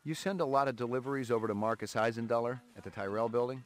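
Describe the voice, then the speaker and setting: A man's voice: medium pitch, quick pace, faint music behind, close to an everyday voice. A man voicing a terse police detective in a video game, asking blunt matter-of-fact questions.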